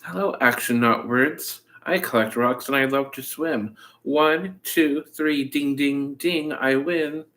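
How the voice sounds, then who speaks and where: A man's voice: medium pitch, slow, hardly any pauses, pushed and bright, a little hiss or hum. A man hosting a cooking livestream, rambling casually with playful, silly asides. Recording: echoey room.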